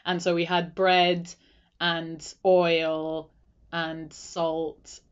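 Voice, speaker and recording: Laid-back woman from a band chatting on a podcast, lowish voice, muffled audio. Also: very slow, clean tone. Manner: relaxed, laughing storyteller. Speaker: band member guest. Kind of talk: casual interview chat. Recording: dull lo-fi audio.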